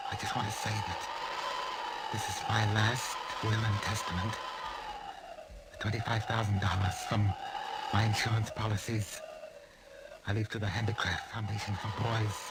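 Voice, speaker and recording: An actor playing a desperate, weakening wounded husband, halting and melodramatic, in an old-time radio drama. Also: male voice, on the low side, little pitch movement, over loud music, close to an everyday voice. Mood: weak, bitter. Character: desperate wounded husband, bitter lifelong fumbler, slowly weakening. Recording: noisy vintage radio recording.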